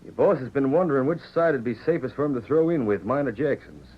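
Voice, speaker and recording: An actor playing a bullying rancher villain in a 1940s radio western, swaggering in folksy cowboy talk. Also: male voice, mid-range voice, animated, quick pace, slightly rough. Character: blustering, bullying male rancher villain of the Old West. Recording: muffled vintage 1940s radio recording.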